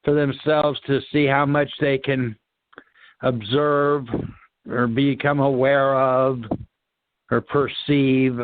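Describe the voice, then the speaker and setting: A man offering an unscripted spiritual reflection, haltingly searching for words with long pauses. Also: mid-range voice, level tone, no echo, phone-quality sound. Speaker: discussion participant.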